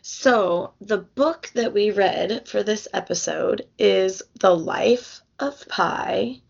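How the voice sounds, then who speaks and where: A young woman chatting slowly on a casual podcast, her voice gravelly and starting to go. She rambles, circling back with fillers and restarts. The recording is dull and lo-fi. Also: medium pitch. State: losing her voice. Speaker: podcast co-host. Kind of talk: casual book podcast chat.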